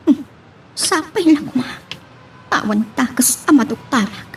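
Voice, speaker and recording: High-voiced, hurt Ilocano wife played by an actress. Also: female voice, animated, a little slow, distant mic, a little hiss or hum. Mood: suspicious, worried. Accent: Filipino, Ilocano. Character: suspicious, hurt young wife doubting her husband. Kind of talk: Filipino radio-style romance drama acting.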